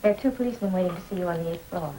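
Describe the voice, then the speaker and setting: A woman's voice: on the low side, very fast, warm tone, close to an everyday voice, rough recording. A woman playing a polite, efficient department store operator, businesslike, in 1950s radio acting style. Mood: brisk, courteous. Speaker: supporting actor in a police radio drama. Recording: old radio recording with faint noise.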